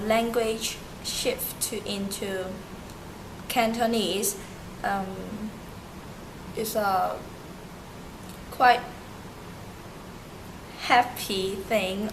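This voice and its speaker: A young woman from Turkey, a native Turkish speaker, answering interview questions in halting English with many fillers and restarts. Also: mid-range voice. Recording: noisy amateur recording.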